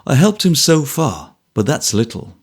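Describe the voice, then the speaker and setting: A man's voice: medium pitch, sing-song, a little slow, husky. A man playing a kindly, troubled former trooper who talks earnestly and a little apologetically. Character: troubled ex-soldier, big, gruff and kindly.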